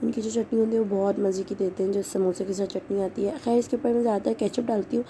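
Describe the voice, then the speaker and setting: A young woman from Karachi, Pakistan, with a hoarse, sore throat, chatting casually and rambling in a home vlog. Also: mid-range voice, steady tone, quick pace, warm tone. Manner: rambling, chatty, mixes in English. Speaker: vlogger and schoolteacher. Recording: noisy background hum.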